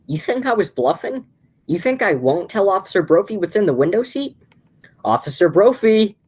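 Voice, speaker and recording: A flustered, scheming brother in a radio play, voiced by a woman. His voice is husky and jumps around animatedly as he insists. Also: medium pitch, big pitch swings, normal speed, darkish tone. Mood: threatening. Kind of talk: radio play acting, high school theatre.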